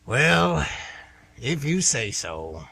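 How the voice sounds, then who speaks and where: Man acting an excitable, husky old baseball fan. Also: medium pitch, drawn-out words, heavily acted. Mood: grudging. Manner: folksy, rambling, emphatic storytelling. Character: excitable nostalgic older American man, lifelong baseball fan. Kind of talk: amateur radio play acting. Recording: amateur radio broadcast.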